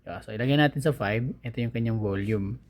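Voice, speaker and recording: A man's voice: lowish voice, fast talker, darkish tone. A man with a Filipino accent giving a casual unboxing and review, informally explaining things step by step to his viewers. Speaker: vlogger, product reviewer.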